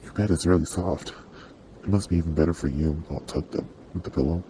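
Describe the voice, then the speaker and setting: Performer as kindly male-sounding demon for ASMR roleplay: very low, soft-toned, apologetic, reassuring, processed through a voice changer. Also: fairly even intonation, a little fast, a little hiss or hum. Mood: apologetic, gentle. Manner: soothing, reassuring, conversational. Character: gentle, apologetic sleep paralysis demon comforting a human.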